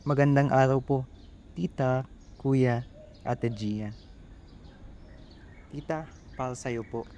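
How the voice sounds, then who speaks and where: A man's voice: medium pitch, fairly even intonation, slow pace, pauses often, warm tone, a little hiss or hum. A man with a Filipino accent playing a polite, nervous, earnest young suitor in an amateur radio drama. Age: young adult.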